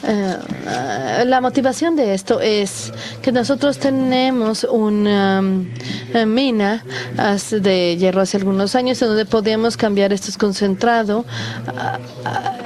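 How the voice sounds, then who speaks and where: Woman conference interpreter, mid-pitched, halting delivery full of restarts. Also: darkish tone. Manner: halting, with many pauses and restarts. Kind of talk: live interpretation of a technical talk.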